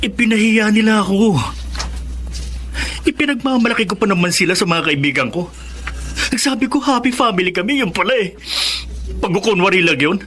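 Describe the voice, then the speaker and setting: Hurt, high-voiced teenage boy. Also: expressive, fairly bright tone, slight background noise. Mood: resentful, hurt. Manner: aggrieved, halting when upset. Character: hurt, sullen teenage boy from a broken family. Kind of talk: Tagalog radio drama acting.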